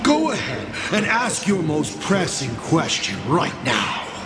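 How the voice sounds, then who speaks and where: A man's voice: fairly high pitch, wildly swooping pitch, roomy sound, loud music behind the voice, exaggerated voice. A man voicing a theatrical, playful villain lord in a video game, teasing and grandiose in his delivery. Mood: amused, musing. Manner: teasing, grandiose, drawn-out phrasing. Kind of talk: video game character acting.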